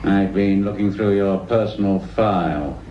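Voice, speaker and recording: A man's voice: low voice, measured pace, a little hiss or hum. A middle-aged man with an English accent playing a stern, disapproving police superintendent in a radio drama, curt and cutting. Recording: roomy, distant, dull radio drama recording.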